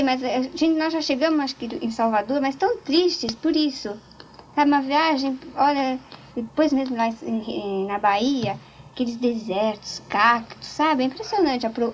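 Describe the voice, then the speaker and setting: A woman's voice: high-pitched, slight background noise. A woman talking casually in a group interview, telling stories with many restarts. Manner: chatty storyteller, frequent restarts. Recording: dull lo-fi tape recording.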